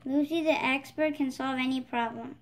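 A female voice with a high pitch reads a children's picture book aloud. She presents it as the host of a read-aloud channel. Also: steady tone, moderate pace. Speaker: storybook reader, channel host.

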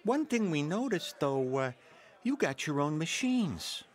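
An actor playing a slick, falsely friendly American mobster in a radio drama. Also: male voice, fairly high pitch, big pitch swings, measured pace. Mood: slick menace.

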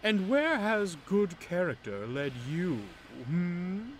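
A man voicing a pompous, boastful male tank engine who talks down to everyone, loud and grandiose. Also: medium pitch, very animated, takes it slowly, a little hiss or hum, voice pushed up.

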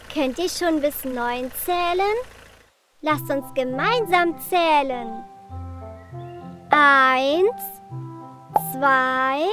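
A woman voicing a cheerful, helpful little girl in a children's cartoon, encouraging young viewers clearly. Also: sits high, exaggerated voice. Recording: music under the voice. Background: children's music.

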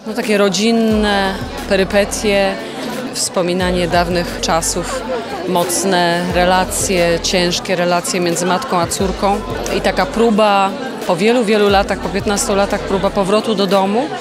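A woman's voice: mid-range voice, little pitch movement, a little slow, over loud music, over a noisy crowd. A woman reflecting in an interview, summing things up in short phrases.

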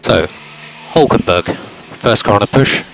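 A man working as a race engineer, giving calm, clipped instructions to a driver over team radio. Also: on the low side, measured pace, vocal fry. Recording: noisy team radio, car engine sound.

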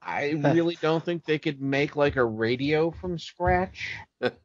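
A man's voice: fairly high pitch, a little slow, no room sound. A man co-hosting a podcast, chatting casually in an informal, colloquial way. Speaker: podcast co-host. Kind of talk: casual chat. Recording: slightly dull, dry close recording.

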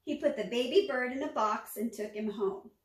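A woman in her forties reading a children's picture book aloud, with measured, expressive storytelling. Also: a little high. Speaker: storytime reader, former teacher. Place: small furnished room at home, indoors. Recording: echoey room, distant microphone.

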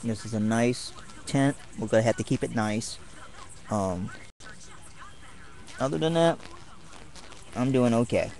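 A young man chatting casually to camera from a tent while camping, halting often with ums and pauses. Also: medium pitch, gravelly, darkish tone, faint music behind. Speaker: martial arts vlogger. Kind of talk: rambling casual vlog.

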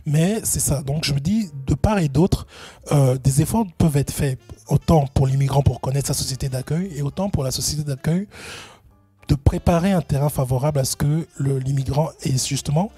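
Hesitant man with a dark, husky voice. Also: on the high side, moderate pace, soft background music. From Benin, raised in Gabon. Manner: hesitant, many fillers and restarts. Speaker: guest, entrepreneurship consultant. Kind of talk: interview answer.

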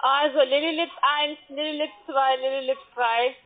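Woman, high pitch, foreign accent, non-native speaker, blunt, insistent, narrow phone-line sound. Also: fairly even intonation, normal speed, a loud crowd. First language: not German. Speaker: call-centre agent. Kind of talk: sales phone call. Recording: tinny, muffled phone line.

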